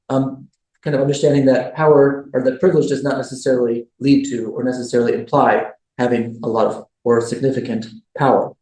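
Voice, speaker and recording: A man giving a formal introduction of a guest lecturer in a measured way, with filler ums. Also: medium pitch, warm tone, reverberant, low-quality recording. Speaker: event host introducing the speaker.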